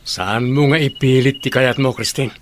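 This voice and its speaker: A man's voice: medium pitch, fairly even intonation, normal speed, a little hiss or hum, natural voice. A man with a Filipino accent playing a stern, protective older brother in a radio drama. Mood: stern, caring.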